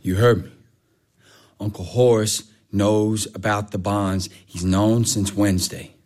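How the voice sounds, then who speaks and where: A man's voice: sits high, big pitch swings, quick pace. An actor playing a hotheaded, foolish young man with a Southern US accent in a stage drama. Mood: sullen, defensive. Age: young adult.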